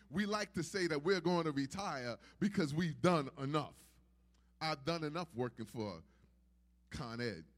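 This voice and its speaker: A man preaching a sermon in an emphatic, repetitive preaching cadence. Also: on the high side. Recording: long hall reverb.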